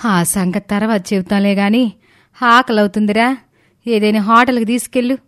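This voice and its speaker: A woman's voice: medium pitch, fairly even intonation, moderate pace, a bit bright, no room sound, studio quality, natural voice. A woman voicing a stern middle-aged mother in a story, blunt and complaining. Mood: grumbling.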